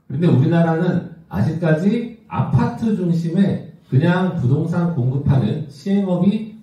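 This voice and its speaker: Man around fifty, business presenter, lively intonation, questioning conversational delivery, muffled distant hall recording. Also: fairly high pitch. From South Korea. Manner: conversational, asks the audience rhetorical questions. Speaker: company executive presenting. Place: large indoor event room with audience.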